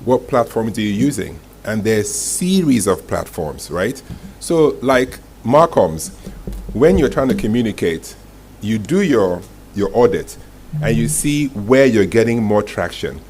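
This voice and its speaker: A man's voice: lowish voice, lively intonation, measured pace, a little mellow. A man answering audience questions at a live talk, direct and teasing, often checking in with "right?". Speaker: masterclass speaker. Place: indoor event room with audience. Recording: clearly noisy live room recording.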